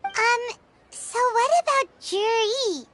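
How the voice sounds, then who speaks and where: A woman's voice: very high voice, slow pace, background noise. A woman voicing a tiny, childlike, curious floating companion in a video game. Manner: speaks of self in third person, exclaims in surprise.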